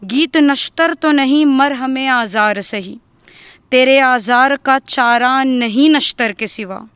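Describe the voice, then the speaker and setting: A woman giving a dramatic recitation of a poem, deliberate and leaning on repeated phrases for emphasis. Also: high voice, a bit bright, smooth, no room sound. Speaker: poetry reciter.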